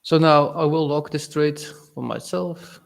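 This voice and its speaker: A young man from Belgium, speaking natively, giving casual, halting live-stream commentary on his trades and answering chat. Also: on the high side, fairly even intonation, gravelly. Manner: casual, halting, reads chat aloud with fillers.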